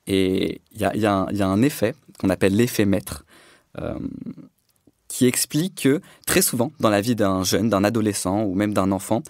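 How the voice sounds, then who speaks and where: A man's voice: on the low side, moderate pace, darkish tone, no echo, clean studio sound. A man whose first language is not French, a public speaking trainer explaining his work earnestly as a podcast guest, with many fillers and restarts. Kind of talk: podcast discussion.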